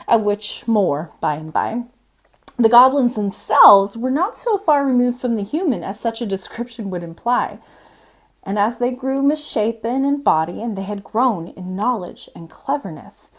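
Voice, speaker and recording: A woman reading a storybook aloud as a librarian host, in an expressive, measured storytelling manner. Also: fairly high pitch. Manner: expressive, measured storytelling with brief informal asides.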